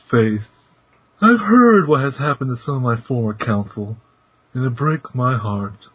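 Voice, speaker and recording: A man voicing a genial, teasing retired old council chairman in a fan podcast read-through, with playful, drawn-out pauses. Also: mid-range voice, husky, no echo. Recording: muffled, dry lo-fi recording.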